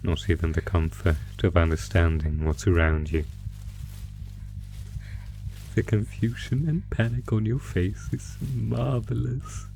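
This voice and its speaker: A man voicing a sinister, sadistic monster from under the bed in a horror roleplay, taunting his prey in a deliberate, mock-genteel, theatrical way. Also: sits low, fairly even intonation, gravelly, mellow, low-quality recording. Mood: excited gloating. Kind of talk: horror roleplay audio, slow theatrical menace. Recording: music under the voice. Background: music.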